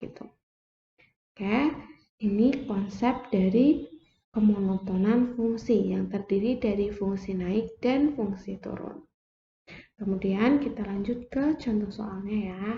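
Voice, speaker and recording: Slow, step-by-step young woman teaching math. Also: mid-range voice, slight background noise. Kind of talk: explaining a math lesson. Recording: echoey room, dull lo-fi sound.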